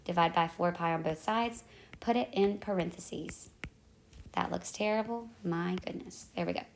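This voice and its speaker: Hoarse, gravelly woman teaching math. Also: fairly low pitch, normal speed, slight background noise. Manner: talks through calculator steps, self-correcting. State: losing her voice. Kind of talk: step-by-step math tutorial. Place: at home in the evening.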